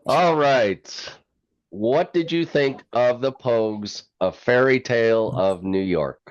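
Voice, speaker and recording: A man's voice: mid-range voice, takes it slowly, no room sound. Man hosting casually, dull lo-fi sound. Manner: explanatory, storytelling. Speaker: reaction show host. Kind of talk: casual chat.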